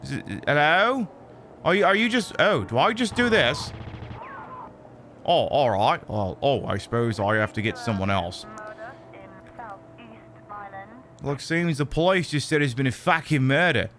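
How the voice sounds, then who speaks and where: A man's voice: mid-range voice, lively intonation, moderate pace, fairly bright tone, a little hiss or hum, close to an everyday voice. A man streaming a video game in a put-on British accent, voicing a cheeky, foul-mouthed, exasperated bloke who rambles through live commentary with mock indignation. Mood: wry, mock-incredulous. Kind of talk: improvised live gaming commentary. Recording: music behind the voice. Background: game music.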